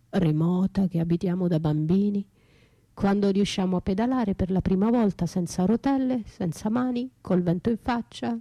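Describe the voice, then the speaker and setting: An actress playing an anguished, bitterly lyrical battered wife left for dead, in an intimate, halting radio theatre monologue that drifts into whispers. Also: female voice, mid-range voice, vocal fry, warm tone. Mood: wistful, resigned. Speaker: stage and radio actress performing her own monologue. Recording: roomy echo, faint music behind.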